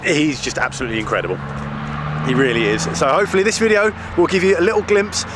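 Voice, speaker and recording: A man with a slightly croaky voice presenting a casual vlog as its host. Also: a little high, quick pace. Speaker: video host. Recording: outdoor ambience, clear background noise.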